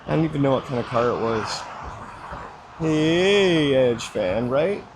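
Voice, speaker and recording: A man's voice: mid-range voice, expressive, unhurried, background noise. A man chatting casually to live viewers while walking outdoors on a city street, rambling with lots of fillers, his voice a little froggy with a slight dry cough. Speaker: livestream walking host.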